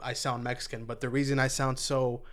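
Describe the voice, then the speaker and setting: A man with a General American accent in casual podcast talk, soft-spoken and reflective. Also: medium pitch, level tone, brisk. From United States. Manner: soft-spoken, reflective, many backchannel murmurs. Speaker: podcast co-host. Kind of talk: casual conversation.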